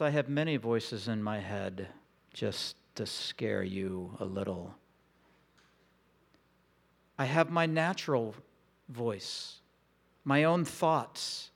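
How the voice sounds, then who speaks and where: A man preaching a sermon in a slow, reflective, intimate way with long pauses. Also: mid-range voice, a bit bright. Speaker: pastor.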